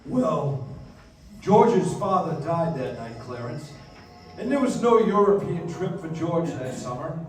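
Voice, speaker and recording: Heavenly narrator in a radio play, highish male voice, far from mic, lots of echo. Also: lively intonation, takes it slowly, darkish tone, a little hiss or hum. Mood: solemn, explanatory. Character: storytelling heavenly narrator explaining a man's life. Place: live stage in a dance hall. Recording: very echoey hall, distant mic. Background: faint music.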